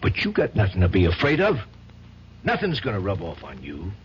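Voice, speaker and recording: A gruff streetwise tough guy. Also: sits low, wildly swooping pitch, a little fast, a little hiss or hum. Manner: gruff, slangy, wisecracking. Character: tough streetwise man, loyal, menacing. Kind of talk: 1970s radio drama acting. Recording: dull old radio broadcast.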